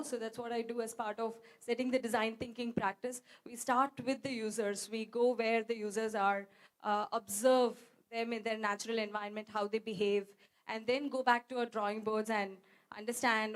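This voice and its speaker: A woman with an Indian accent and a slightly high voice answers a question at a live talk. She lays out her points step by step in a professional way. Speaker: guest speaker, company founder.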